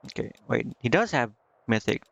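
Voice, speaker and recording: A man streaming a video game, casually thinking out loud and reading menu text to himself, with some offhand swearing. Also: medium pitch, big pitch swings, normal speed, gravelly, dull audio. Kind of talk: casual live gameplay commentary, muttering and reading menu text aloud.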